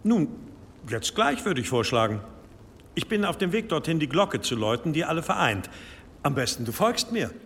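Courteous abbot in reverberant hall. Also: male voice, a little high, big pitch swings, slight background noise. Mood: gently insistent. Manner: measured, formal, gently insistent. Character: courteous, unctuous monastery abbot with quiet authority. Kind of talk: German radio drama acting.

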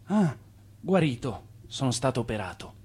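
An actor playing an ailing, stubborn old Italian swindler in a radio drama, weary but proud, with a wistful, theatrical delivery. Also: male voice, medium pitch, sing-song, moderate pace, darkish tone, slightly rough.

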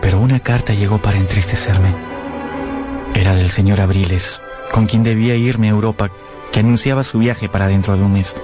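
An actor playing an earnest, lovestruck young man who narrates his past in a measured, literary radio drama style. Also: on the low side, steady tone, close to an everyday voice. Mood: solemn narrating. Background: music.

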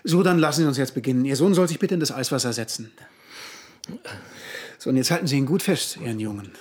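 An actor playing a bumbling, pious and unctuous surgeon in a satirical radio play, mumbling hesitantly with a husky voice. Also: male voice, mid-range voice, fast, a little mellow, lightly acted. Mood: pious, evasive.

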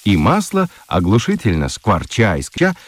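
Highly expressive male narrator. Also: moderate pace. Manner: expressive, storytelling. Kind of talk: scripted narration for a radio play.

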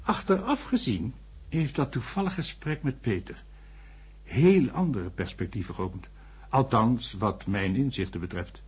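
Male doctor narrator, slightly husky, thoughtful, animated intonation, science fiction radio play. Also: mid-range voice, measured pace. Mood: reflective, confiding. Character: male doctor narrating, earnest, sceptical but sympathetic. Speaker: narrator and character.

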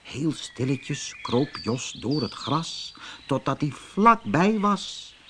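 A male storyteller reads a children's fairy tale with expressive drama. His voice is fairly high and very lively in pitch, and there is faint noise in the recording. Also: slow. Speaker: audiobook storyteller.

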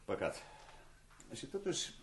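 An actor playing a testy, playful old maestro in a radio play, wry and needling. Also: male voice, fairly bright tone, slight background noise, close to an everyday voice.

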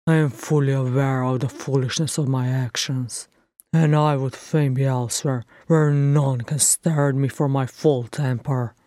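A woman voicing a proud, sulky dwarf prince in a fantasy story, with a rough voice and curt, formal, archaic speech. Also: very deep voice, level tone, measured pace, few pauses, warm tone, no room sound, clean studio sound. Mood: irritated. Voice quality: rough, gruff. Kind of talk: fantasy audiobook character voice.

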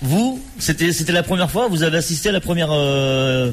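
A man hosting a live morning radio show, chatty and lively, sometimes drifting into a sing-song delivery. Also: fairly high pitch, a little fast. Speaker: radio host. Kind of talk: casual live radio interview.

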